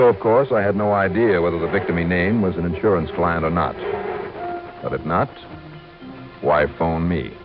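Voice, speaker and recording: A man's voice: sits low, natural voice. An American man playing a wry, dogged insurance investigator in a 1950s radio drama, narrating in clipped, punchy phrases. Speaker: lead actor and narrator. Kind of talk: 1950s radio detective drama acting, first-person narration. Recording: muffled vintage radio recording with music.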